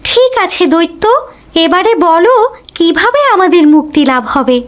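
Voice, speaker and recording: A woman's voice: extremely high pitch, big pitch swings, normal speed. A woman playing a brave, humble young girl in a fairy-tale cartoon, speaking politely and earnestly.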